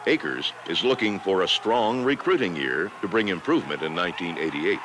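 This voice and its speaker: A man's voice: sits low, expressive, fairly quick, very noisy. A man narrating a university newsreel from a script, in the manner of a year-in-review report. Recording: music bed under the voice. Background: loud background music.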